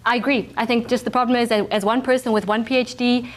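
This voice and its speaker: A woman's voice: fairly high pitch, flat delivery, fast. A woman lecturer from Zambia and South Africa, answering audience questions in a thoughtful, agreeable way with some restarts. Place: lecture room.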